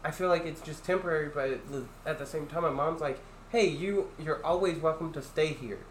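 Loud young man in an echoey room. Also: a little fast. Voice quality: loud deep voice that carries. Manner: rambling, many restarts and fillers. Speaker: podcast host. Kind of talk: casual chat. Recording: echoey room, faint ambient noise.

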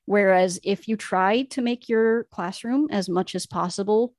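A woman's voice: medium pitch, a little slow, darkish tone, no room sound, studio quality. A woman co-hosting an educational podcast, earnestly laying out her case point by point. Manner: earnest, explanatory, building arguments point by point. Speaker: podcast co-host, Latin teacher. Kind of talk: enthusiastic educational podcast discussion.

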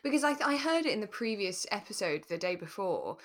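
A woman's voice: medium pitch, very animated, brisk. A woman co-hosting a podcast, chatting casually and reflectively as she reviews a radio drama. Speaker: podcast co-host.

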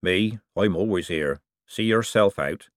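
A man's voice: low-pitched, a little slow, no room sound, studio quality. A man voicing a greedy, sly old male craftsman who growls in coarse working-class speech. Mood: gruff.

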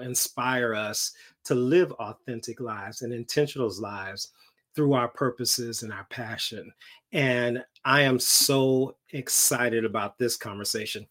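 A man hosting a video podcast interview, talking casually and laughing often. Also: medium pitch. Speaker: podcast host.

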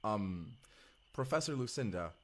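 A man's voice: lowish voice, expressive, unhurried. Man acting as earnest young time traveler. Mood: awkward, cautious. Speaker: voice actor in an audio roleplay. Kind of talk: first-person audio drama dialogue, spoken to the listener.

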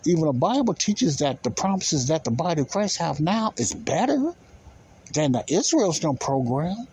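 A man's voice: a little high, very animated, moderate pace, darkish tone, husky. A man teaching the Bible in an informal sermon, speaking emphatically, with repetition and rhetorical questions.

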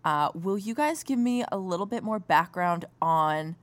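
Woman host, enthusiastic and chatty, slightly low voice. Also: lively intonation, normal speed. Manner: bubbly, gushing, upbeat. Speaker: podcast host. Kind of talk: enthusiastic interview chat.

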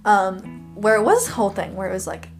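A young woman co-hosting a podcast, excitedly retelling a story with lots of "like" and laughter. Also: medium pitch, a little fast, over music. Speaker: podcast co-host. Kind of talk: casual chat.

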